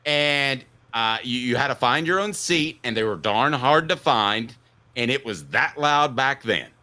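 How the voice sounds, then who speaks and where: A man's voice: medium pitch, animated, unhurried, sharp tone. A man in his fifties hosting an opinionated sports talk show, telling stories casually in a jokey, mock-indignant way. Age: 50s.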